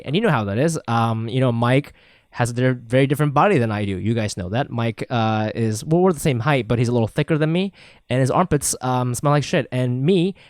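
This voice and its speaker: A man's voice: medium pitch, no room sound, studio quality. A man co-hosting a podcast in an informal, joking way, in casual chat and chatty host-read spots.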